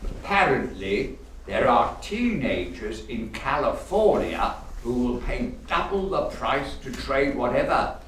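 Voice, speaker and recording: A miserly grumpy old uncle for a radio play, swoops around a lot, big roomy echo. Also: male voice, medium pitch, sing-song, a bit bright. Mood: smug. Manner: grumpy, theatrical, drawn-out delivery. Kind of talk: golden-age radio play acting. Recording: echoey room, distant mic, faint noise.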